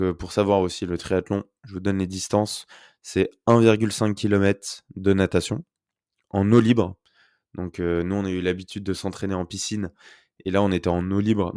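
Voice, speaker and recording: A man's voice: sits low, warm tone, clean tone, no room sound, clean studio sound. A young man hosting a casual solo podcast from home, talking through a blocked nose with a sore throat, chatty and upbeat with many fillers. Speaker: podcast host. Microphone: close podcast mic.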